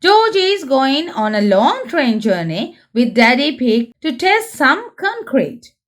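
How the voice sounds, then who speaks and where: Very high-pitched woman reading to small children. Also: big pitch swings, takes it slowly. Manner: sing-song, addressing small children with exaggerated expression. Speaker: host reading children's picture books aloud. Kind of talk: animated read-aloud storytelling for young children.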